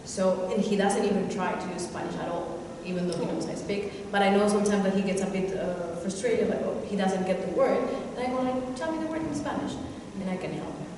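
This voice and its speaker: A woman's voice: mid-range voice, brisk, few pauses. Friendly, reassuring teacher woman; far-off mic in a reverberant hall. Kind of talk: answering a parent at a workshop. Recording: echoey hall from far off, noisy.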